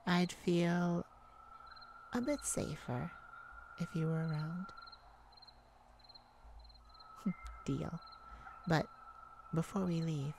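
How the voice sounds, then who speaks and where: Slow, gravelly, lovesick vampire played by a woman. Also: fairly low pitch, darkish tone, slight background noise. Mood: tender, vulnerable. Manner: hesitant, emotional, halting with sighs and pauses. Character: tender, wounded, lovesick vampire speaking to a hunter. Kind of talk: ASMR roleplay, intimate dramatic monologue.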